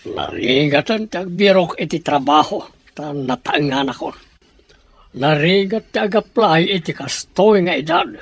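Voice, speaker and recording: A man's voice: a little high, lively intonation, measured pace, creaky, slightly rough, dry recording, heavily acted. A man playing a jobless middle-aged son, subdued and respectful. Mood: worried.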